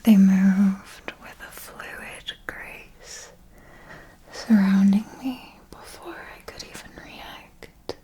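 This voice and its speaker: A woman playing a seasoned female vampire mentor in a whispered ASMR roleplay, telling tales with theatrical, formal, deliberate diction. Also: mid-range voice, low-quality recording. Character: seasoned female vampire mentor, doting, menacing, solemnly recounting.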